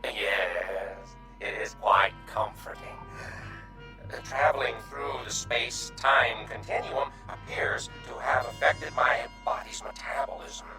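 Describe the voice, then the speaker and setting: A man's voice: mid-range voice, slow, bright, forceful tone, roomy sound, soft background music, low-quality recording. A man playing a timid, courteous alien refugee in a radio drama, speaking in stilted, formal, hesitant phrases. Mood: reserved, cautious.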